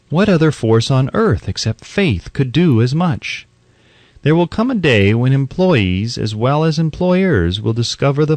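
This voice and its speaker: A man's voice: medium pitch, a little mellow, dry recording. Man reading an audiobook, dry close sound. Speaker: audiobook narrator. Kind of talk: scripted audiobook narration.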